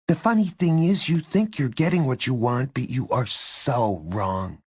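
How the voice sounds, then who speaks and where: Man voicing a high-pitched, bitter, defiant teenage girl. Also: lively intonation, a little slow, no room sound, studio quality. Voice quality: strained, rasping when angry.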